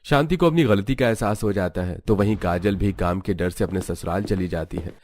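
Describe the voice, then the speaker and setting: A man's voice: sits low, brisk, a little mellow. A man reading scripted story narration for a cartoon. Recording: background music.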